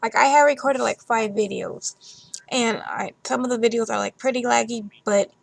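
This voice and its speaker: A woman's voice: a little high, moderate pace, vocal fry, no echo, rough recording. A young woman chatting casually in a gameplay voiceover, rambling with fillers, losing her voice. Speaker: gaming YouTuber. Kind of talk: casual game commentary voiceover.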